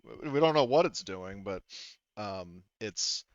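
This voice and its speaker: A man narrating a tabletop role-playing game as game master, improvising in a halting, rambling way full of asides. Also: on the low side, lively intonation, no echo, highs cut off.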